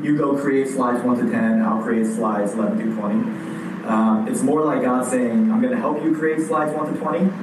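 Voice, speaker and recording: Man preaching in an echoey, distant room. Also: mid-range voice. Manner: conversational, frequent fillers and restarts. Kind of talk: sermon. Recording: echoey room heard from a distance with background noise.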